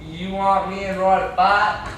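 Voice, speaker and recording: Casting: cocky, argumentative backwoods hustler played by a young man, high voice, amateur stage play in echoey room. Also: level tone, a little slow, fairly bright tone. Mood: wary. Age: young adult. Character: defiant, cocky backwoods male dog-fight promoter. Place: classroom, indoors. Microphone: far camera. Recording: echoey classroom, distant camera mic, noisy.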